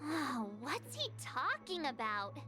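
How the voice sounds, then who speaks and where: Cute childlike creature companion, video game: very high, animated, anxious, wide-eyed, curious. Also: female voice, slow pace, faint music behind, full character voice. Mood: nervous. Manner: hesitant interjections, wide-eyed and theatrical.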